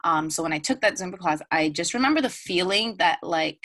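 Woman, American accent, lower-register voice, casual interview answers, wellness coach type. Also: expressive, fairly quick, fairly bright tone, no room sound, studio quality. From Dominican Republic, raised in the United States. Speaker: podcast guest, wellness coach and Zumba instructor.

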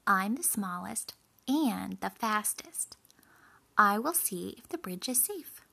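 A woman's voice: medium pitch, expressive, slow pace, creaky, sharp tone, husky, heavily acted. A woman voicing the youngest, smallest goat in a storybook, chatty, polite and clever, with a friendly, emphatic manner. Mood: cheerful, friendly.

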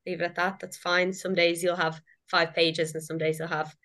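A woman gently reassuring others in a live online group Q+A. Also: lowish voice, little pitch movement, moderate pace.

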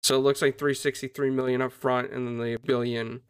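A man's voice: medium pitch, little pitch movement, fairly quick, clean tone, no room sound. A man hosting a gaming news podcast, talking casually and upbeat with many fillers as he goes through stories. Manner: upbeat, greets viewers, uses many fillers. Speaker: podcast host.

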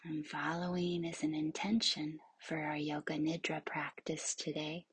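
A woman guides a meditation as a yoga nidra instructor. Her voice is very husky and breathy, often close to a whisper. She speaks slowly and evenly, soothing and hushed, leaving long pauses. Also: sits low, little pitch movement, no echo.

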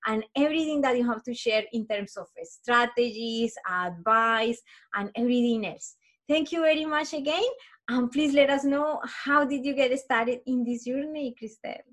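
Woman, higher pitch, show host, effusive, slightly stumbling intro. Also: a bit bright. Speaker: podcast host. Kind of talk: scripted interview introduction.

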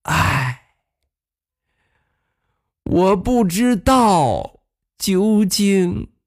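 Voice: medium pitch, big pitch swings, extremely slow, pitched above the performer's own voice, heavily acted. A storyteller voicing a sad, weary old person who weeps and pleads, in a children's fairy tale. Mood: tearful, pleading.

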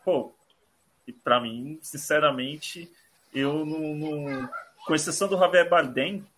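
A man's voice: fairly high pitch, measured pace, fairly bright tone, a little hiss or hum. A Brazilian man co-hosting a casual film podcast, giving his opinions casually. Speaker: podcast co-host.

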